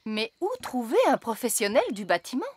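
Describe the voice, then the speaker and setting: Woman as lively, proper grown-up fairy. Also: fairly high pitch, a little fast, slight background noise, close to an everyday voice. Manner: polite, explanatory.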